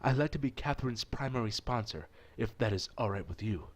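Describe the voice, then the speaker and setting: A man voicing a rich, generous gentleman in a visual novel, with a formal and self-assured manner. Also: mid-range voice, fairly quick, lightly acted. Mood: courteous, eager. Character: rich, suave young gentleman patron, generous and teasing.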